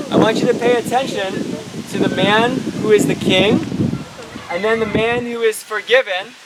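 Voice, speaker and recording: A woman presenting a children's puppet show outdoors, explaining simply and putting questions to the audience. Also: mid-range voice. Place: outdoors at an open-air show. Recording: very windy, noisy outdoor recording.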